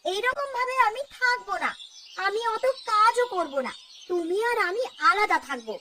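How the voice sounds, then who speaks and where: A woman's voice: very high-pitched, sing-song, moderate pace, noisy background. A woman voicing a spoiled, stubborn young daughter-in-law in a children's cartoon, whining defiantly. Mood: whiny, defiant. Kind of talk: Bengali children's cartoon dialogue.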